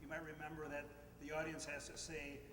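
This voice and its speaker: A man's voice: on the high side, monotone, fairly quick, a bit bright. A man giving informal instructions to a live audience as one of the show's crew. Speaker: show crew member briefing the audience. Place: hall before a live audience. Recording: distant, echoey hall, clearly noisy.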